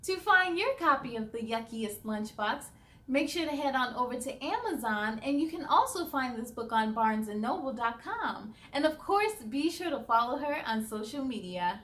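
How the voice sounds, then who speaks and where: A woman reading a children's picture book aloud in an expressive, encouraging way, as if speaking directly to young listeners. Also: high voice, normal speed. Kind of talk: children's read-aloud storytelling.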